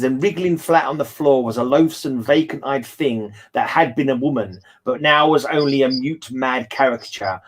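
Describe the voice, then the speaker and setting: A man in a casual book discussion, thinking aloud and correcting himself as he goes. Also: medium pitch. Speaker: podcast host.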